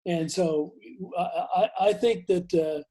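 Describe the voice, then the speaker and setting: A man speaking over a video call in a casual discussion, halting, with many restarts. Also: high-pitched, dark tone. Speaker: photographer.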